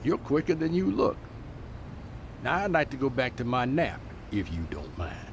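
A man's voice: medium pitch, big pitch swings, normal speed, gravelly, slight background noise. An actor playing an earnest man who lives among the homeless and tells a story in a radio drama. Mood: wry. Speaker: storyteller and street preacher.

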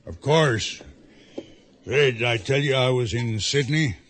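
An actor playing an expansive, boastful sailor spinning tall tales theatrically in a radio drama. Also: male voice, medium pitch, measured pace, a bit bright, a little hiss or hum, lightly acted. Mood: jovial, boastful.

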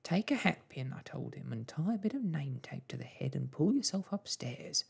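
A storyteller voicing a fretful, guilt-ridden mother in a children's storybook, breathy and quavering, with a folksy, lamenting manner. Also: medium pitch, big pitch swings, moderate pace, darkish tone, voice pushed up, heavily acted. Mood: fretting. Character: fretful, guilt-ridden mother of a tiny family, tearful scolder.

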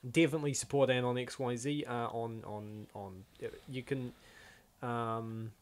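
A man's voice: medium pitch, steady tone, moderate pace. A man hosting a comic dub with casual, slangy, exclamatory reaction commentary. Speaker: host of a comic dub channel.

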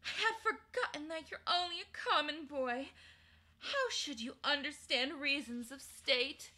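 A woman's voice: high-pitched, unhurried, pushed and bright, pitched above the performer's own voice. A woman reading as an imperious ancient queen. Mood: haughty. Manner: haughty, imperious, declaiming. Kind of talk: storybook reading.

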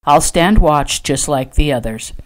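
A woman voicing a gruff, stubborn male rancher with a terse, growling delivery in an audiobook. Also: low-pitched, lively intonation, a little slow. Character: gruff, stubborn, commanding cowboy rancher man.

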